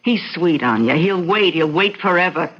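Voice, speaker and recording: A woman's voice: on the low side, expressive, moderate pace, lightly acted. An actress playing a gambler's knowing, blunt woman friend in an old-time radio drama. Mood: knowing, reproving. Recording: dull, noisy vintage radio recording.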